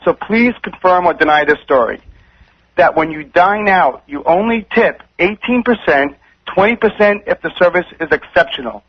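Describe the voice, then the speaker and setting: A man's voice: sits high, animated, moderate pace. A man calling in to a radio show, putting questions in a casual, earnest but needling way. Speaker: radio caller. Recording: muffled lo-fi radio call.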